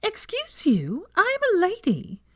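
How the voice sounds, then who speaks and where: A woman voicing a tipsy, high-pitched, indignant young witch. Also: wildly swooping pitch, takes it slowly, dry recording, exaggerated voice. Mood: indignant, playful. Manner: petulant, dramatic. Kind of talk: fan fiction audiobook character voice.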